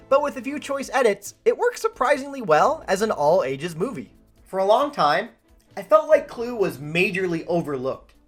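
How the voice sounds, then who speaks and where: A woman with a Canadian accent hosting a scripted film video essay, talking playfully and directly to the viewer. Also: mid-range voice. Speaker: video host and film commentator. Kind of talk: scripted video essay narration with chatty asides.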